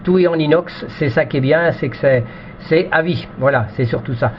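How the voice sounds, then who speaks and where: A man with a slightly high voice chats casually over amateur radio. He repeats himself and often tags his phrases with "hein". The sound is dull and lo-fi, with light background noise. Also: moderate pace. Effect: two-way radio sound.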